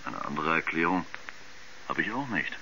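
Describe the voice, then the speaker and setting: An actor playing an irreverent mission radio operator, answering bluntly and colloquially in an old radio play. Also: male voice, a bass voice, expressive, moderate pace, pushed and bright, heavily acted. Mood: blunt, matter-of-fact. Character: plain-spoken, irreverent male mission radio operator being interviewed. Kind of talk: 1970s German radio drama acting. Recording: dull vintage radio recording, faint background noise.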